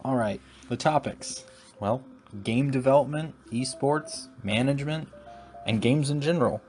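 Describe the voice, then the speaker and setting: A man's voice: medium pitch, a little slow, a little mellow, soft background music. A man hosting his own solo podcast, talking reflectively and rambling a little with restarts. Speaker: podcast host.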